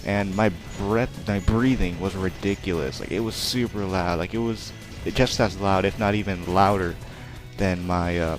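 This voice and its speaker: Young male let's player, very deep and soft-spoken, rambling and self-deprecating, game music loud underneath. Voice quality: naturally quiet voice. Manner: rambling, chatty, self-deprecating, many fillers. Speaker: let's play commentator. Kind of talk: casual gameplay commentary.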